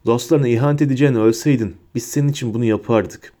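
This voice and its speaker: A man voicing a bitter, furious adult wizard who shouts and snarls his accusations. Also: mid-range voice, quick pace, lightly acted.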